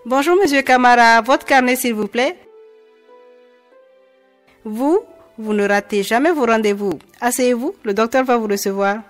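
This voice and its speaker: A woman voices a polite, attentive receptionist in an educational health cartoon. Her voice is fairly high, with soft music underneath. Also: measured pace, long pauses, close to an everyday voice. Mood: polite, welcoming.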